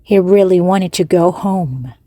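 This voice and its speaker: Woman narrator, very slow and deliberate, clear storybook reading, dry sound. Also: on the low side, warm tone, no echo. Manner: slow, careful, evenly paced reading. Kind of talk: slow read-aloud for language learners.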